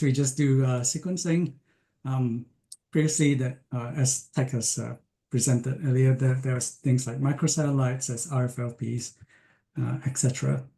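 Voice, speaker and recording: A man's voice: mid-range voice, warm tone. Hesitant man from Malaysia lecturing. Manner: hesitant, many ums and restarts. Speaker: scientist giving a lecture. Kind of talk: online lecture presentation.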